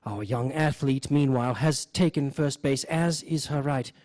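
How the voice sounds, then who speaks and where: A man hosting a radio show, reading mock nature-documentary narration in a deadpan, solemn way. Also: medium pitch, normal speed, dry recording, studio quality. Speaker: host of a live variety radio show.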